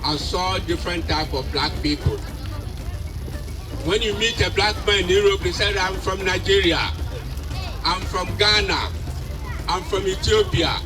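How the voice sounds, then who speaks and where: Male speaker from Africa, high voice, emphatic short phrases, distant mic with clear music and crowd. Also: measured pace, pauses often. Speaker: event speaker. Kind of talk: impassioned public speech. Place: public gathering.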